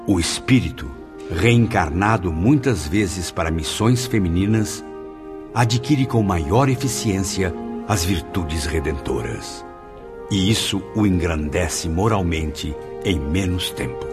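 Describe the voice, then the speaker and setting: A man narrating a spiritual radio play in a solemn, measured way. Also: deep voice, animated, music in the background.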